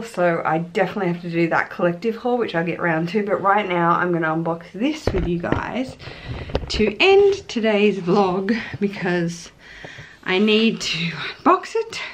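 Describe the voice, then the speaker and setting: A woman's voice: lowish voice, a little hiss or hum. A woman in her thirties with an Australian accent, chatting casually and gushingly to camera for a vlog at home. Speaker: vlogger. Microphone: camera at arm's length or on table.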